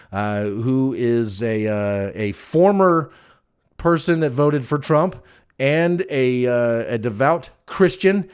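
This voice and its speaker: A man's voice: mid-range voice, smooth. A man hosting a podcast on his own, talking casually in a rambling, joking and frank way. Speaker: podcast host. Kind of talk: casual solo podcast talk.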